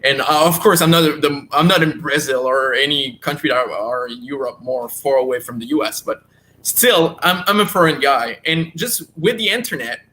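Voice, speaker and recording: A man's voice: medium pitch, normal speed, bright, forceful tone, rough recording. A man with a Quebec French accent, French as his first language, speaking eagerly as an interview guest and often restarting words as he searches for them in English. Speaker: guest comic creator. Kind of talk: casual interview talk.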